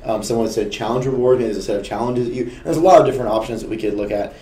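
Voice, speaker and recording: A man with a gravelly, injured-sounding voice, chatting candidly as a co-host on a game developer stream. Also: mid-range voice, racing, warm tone, echoey room. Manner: candid, rambling with restarts. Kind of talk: casual dev stream chat.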